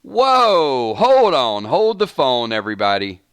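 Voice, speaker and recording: A man's voice: mid-range voice, huge pitch swings, takes it slowly, smooth, no echo. A man hosting a fan live stream, chatting casually with viewers and breaking off mid-sentence with "hold on" and "wait a minute.". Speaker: fan channel host. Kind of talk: live-stream commentary reading chat.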